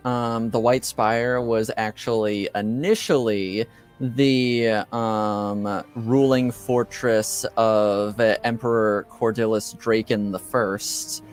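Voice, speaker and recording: A man acting as game master, improvising descriptions for a tabletop game and often pausing mid-phrase to find his words. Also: mid-range voice, smooth.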